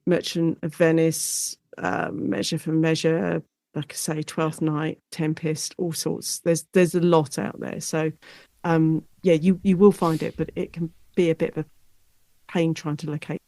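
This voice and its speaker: Lower-pitched woman, dry close sound. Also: little pitch movement, unhurried, warm tone, dry recording. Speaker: guest, university lecturer and author. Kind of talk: casual interview chat.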